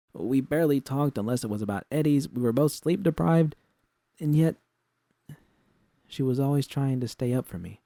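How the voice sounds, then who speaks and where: A man's voice: medium pitch, vocal fry, dark tone, no echo, clean studio sound. A man reading a scripted first-person story as its narrator. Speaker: narrator of fan fiction.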